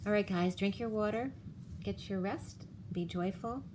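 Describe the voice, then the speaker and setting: A woman reading tarot cards for viewers in a casual, rambling way, her voice going hoarse. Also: mid-range voice, noisy background. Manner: rambling, halting restarts. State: losing her voice. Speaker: tarot reader. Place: home room, table of cards. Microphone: camera on the cards, speaker off screen.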